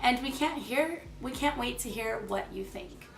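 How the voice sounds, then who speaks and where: Hoarse young woman, chatty beauty review. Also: on the high side, lively intonation, moderate pace, distant mic. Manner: chatty and enthusiastic, many fillers. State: hoarse, losing her voice. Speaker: beauty vlogger. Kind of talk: casual product review. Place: small room, plain wall. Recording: echoey room, slightly off-mic.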